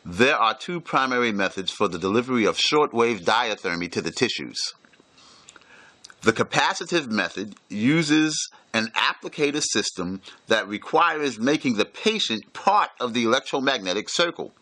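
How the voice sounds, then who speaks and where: A man reading a scripted instructional lecture with formal, measured enunciation. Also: mid-range voice, animated, bright, forceful tone, dry recording.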